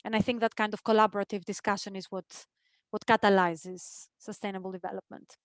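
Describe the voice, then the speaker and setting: A woman's voice: medium pitch, little pitch movement, brisk, dry recording. A woman, co-founder of a nonprofit, speaking thoughtfully in a webinar over a video call and repeating words as she shapes her point. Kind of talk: webinar conversation.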